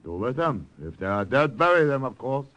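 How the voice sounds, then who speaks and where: An actor playing an imperious, suspicious Japanese colonel in an old radio drama. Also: male voice, medium pitch, very animated, fairly quick, fairly bright tone. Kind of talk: 1950s radio drama acting. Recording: faintly noisy old radio recording.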